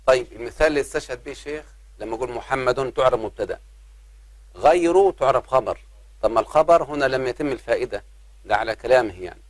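A man, teaching an Arabic grammar lesson. He talks patiently, addresses his students as his children and slows down on examples. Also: mid-range voice, lively intonation, no room sound. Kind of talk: grammar lesson explaining verse.

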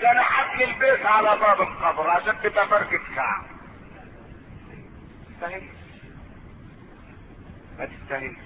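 Male preacher, Egyptian accent, high voice, sermon storytelling, rhetorical questions, declamatory phrasing, clearly noisy recording. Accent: Egyptian Arabic. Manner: rhetorical, alternating colloquial asides with drawn-out declamation. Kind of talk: sermon to a congregation with storytelling and recited verse. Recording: noisy, narrow phone-like sound.

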